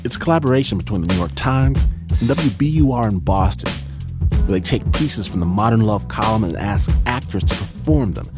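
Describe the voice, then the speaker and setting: A male radio host delivers a scripted introduction in a fairly low voice. His intonation swings in an animated way, and music plays clearly underneath him. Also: a little fast. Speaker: show host introducing a story.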